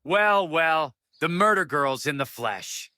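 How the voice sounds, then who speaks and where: An actor playing a smug, bullying small-town sheriff's deputy who taunts and threatens, in an audio drama. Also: male voice, a little high, lively intonation, slow pace, noisy background.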